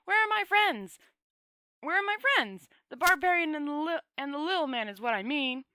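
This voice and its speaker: A woman voicing a panicky, defiant male storybook wizard who talks loudly and snappishly in desperation. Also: high-pitched, lively intonation, moderate pace, background noise, pitched above the performer's own voice. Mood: desperate, defiant.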